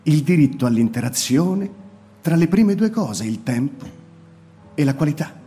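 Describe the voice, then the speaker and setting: An actor playing a weary, frightened old male scholar in a radio play, measured and bitter, with faint music behind. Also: animated, mellow. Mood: earnest. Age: older. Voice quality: measured, turns shrill in alarm. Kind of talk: radio drama acting.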